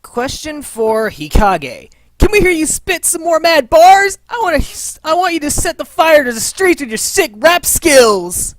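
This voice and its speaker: A man presents a question segment in a very animated voice that swings widely in pitch. He is playful and theatrical, and the recording is dry. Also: a little slow, few pauses, dry recording. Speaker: host of a fan Q and A.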